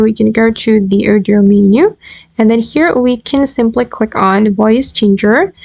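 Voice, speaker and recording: High-pitched woman with a creaky voice. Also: a little mellow, dry recording. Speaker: tutorial host. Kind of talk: casual software tutorial narration.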